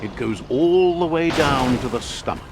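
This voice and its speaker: A man playing a wry, philosophical narrator of an animal fable video game, telling it in a theatrical, measured, whimsical storybook style. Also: medium pitch, animated, noisy background, close to an everyday voice. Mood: playful, wry.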